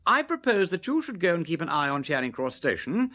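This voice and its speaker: An actor playing a dapper, shrewd aristocratic detective of the 1920s in a radio drama, with an upper-class English accent and a brisk drawl. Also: male voice, a little high, big pitch swings, sharp tone. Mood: brisk, persuasive.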